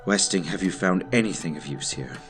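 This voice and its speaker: A man's voice: low voice, expressive, a little fast, a bit bright, soft background music. A man playing a courteous, grave agency director in an audio drama, speaking formally and theatrically.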